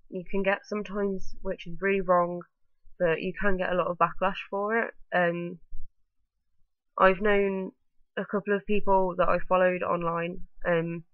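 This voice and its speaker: A woman's voice: lowish voice, no room sound, narrow, tinny audio. A woman vlogging to camera with a blocked-up nose from a bad cold, rambling along with lots of ums and likes. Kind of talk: casual talking-to-camera vlog.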